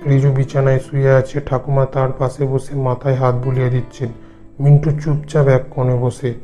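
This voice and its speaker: Bright, even-toned male story narrator. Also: medium pitch, normal speed, faint music behind. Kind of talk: scripted horror story narration.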